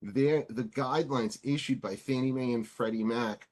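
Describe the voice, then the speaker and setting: A man's voice: medium pitch, normal speed, darkish tone. A man giving a webinar talk on mortgage lending for condos, speaking in a chatty way with frequent fillers and stammered restarts over a video call. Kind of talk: presentation in a web seminar.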